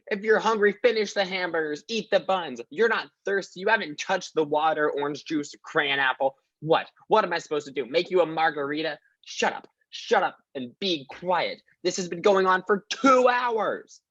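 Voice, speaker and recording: An actor playing an uptight, exasperated male police officer in a parody radio play, flustered and deadpan. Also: high voice, normal speed, highs cut off.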